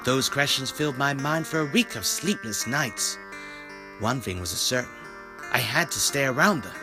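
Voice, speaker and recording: An actor playing an earnest, inquisitive newspaper writer turned detective in an audio drama, speaking dramatically. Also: male voice, medium pitch, lively intonation, normal speed, over music, lightly acted. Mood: brooding narration. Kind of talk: audio drama acting and first-person narration.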